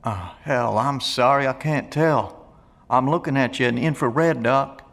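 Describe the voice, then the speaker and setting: An actor playing a blunt, friendly survivalist in an audio drama, with a folksy, gravelly drawl. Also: male voice, medium pitch, a little slow, a bit bright. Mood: friendly, apologetic. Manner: folksy, colloquial, plain-spoken. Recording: long echoey reverb of an empty hall.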